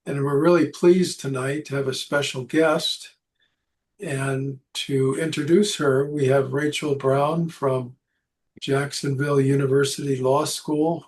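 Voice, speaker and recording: A man with a somewhat high voice opens the evening as host. He greets the audience warmly, speaks with a few halting restarts, and then hands the floor to a colleague. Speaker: center director, event host. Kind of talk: opening remarks.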